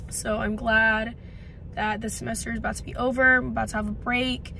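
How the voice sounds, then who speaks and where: A woman's voice: a little high, little pitch movement, fairly quick, gravelly, sharp tone, a little hiss or hum. A woman in her twenties, congested and losing her voice, chatting casually and rambling to a phone camera in a parked car. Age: 20s. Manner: chatty, rambling with many likes. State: congested, voice partly gone. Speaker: vlogger. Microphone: phone camera at arm's length.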